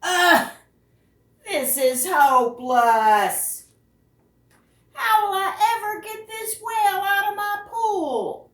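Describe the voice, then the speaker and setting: A woman acts out a picture-book little boy, high-pitched and dramatic, forever pleading and exasperated. The delivery swoops widely and wheedles, in an echoey room. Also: a bit bright, exaggerated voice. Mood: despairing. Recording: roomy echo, distant microphone.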